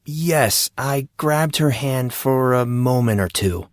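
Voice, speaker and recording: A man playing a guarded, awkward and sarcastic teenage boy in an audio drama, answering evasively and a little haltingly. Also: medium pitch, dry recording, clean studio sound, natural voice. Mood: defensive. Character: guarded, sarcastic fourteen-year-old boy, awkward and exasperated. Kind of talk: audio drama character dialogue.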